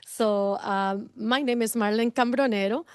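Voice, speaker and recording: A woman's voice: on the high side, fairly even intonation, unhurried, clear, resonant tone, dry recording, studio quality. A middle-aged woman from Costa Rica with a Costa Rican Spanish accent, a realtor speaking as guest at a business meeting, enthusiastic and effusive. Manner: enthusiastic, effusive, laughs between phrases.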